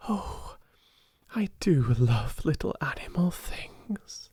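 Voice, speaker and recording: A British man voicing a kind, imaginative young girl in a storybook, soft, coaxing and tender with animals. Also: a little high, lively intonation, takes it slowly, breathy edge, dry recording, in a whisper, clean studio sound, pitched above the performer's own voice, exaggerated voice. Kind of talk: storybook character voice.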